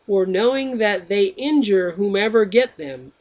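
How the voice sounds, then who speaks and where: A man reads out Socrates, an older philosopher who questions a younger man with slow patience. Each question is weighed out carefully. The voice sits high for a man and drags out its phrases. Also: animated, darkish tone, highs cut off. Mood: probing.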